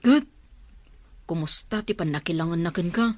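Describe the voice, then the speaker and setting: A woman acting a sociable, inquisitive young confidante in an audio drama, with an Ilocano accent and expressive, probing delivery. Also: fairly low pitch, normal speed, no echo, natural voice. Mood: curious, concerned.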